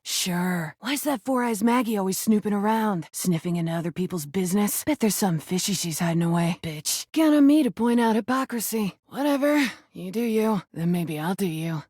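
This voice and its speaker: A brash rubber duck from a video game, husky and rough-edged. The talk is slangy, profane and flirty, with a sardonic, guarded streak. The sound is close and dry. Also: female voice, medium pitch, darkish tone, no echo. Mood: sarcastic, dismissive. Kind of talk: video game character acting.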